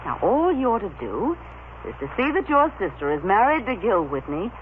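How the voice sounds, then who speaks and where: An actress plays a silky, scheming woman from a vintage radio soap. Her theatrical, menacing delivery swoops widely in pitch, through a very muffled broadcast with light noise. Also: very animated, moderate pace, natural voice. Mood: scheming, insistent. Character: scheming, coolly wicked woman bargaining with accomplice. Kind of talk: old-time radio soap opera acting.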